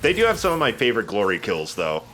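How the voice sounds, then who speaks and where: A man's voice: fairly low pitch, huge pitch swings, fast talker, fairly bright tone, soft background music. A man giving casual gaming commentary as a let's play host, explaining things in a self-deprecating way.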